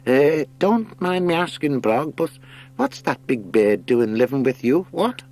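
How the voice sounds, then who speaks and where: Man putting on an ancient hare, pitched high. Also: sing-song, measured pace, darkish tone. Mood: nervous, curious. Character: ancient, nervous, polite male hare. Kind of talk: audiobook character acting.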